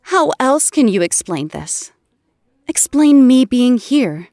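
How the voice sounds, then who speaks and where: Slow-spoken, earnest heroine. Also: female voice, high voice, sing-song, darkish tone, dry recording, clean studio sound, heavily acted. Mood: explaining, urgent. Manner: earnest, deliberate, emotional. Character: Jean, earnest, pleading resurrected female superhero. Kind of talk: audio drama acting.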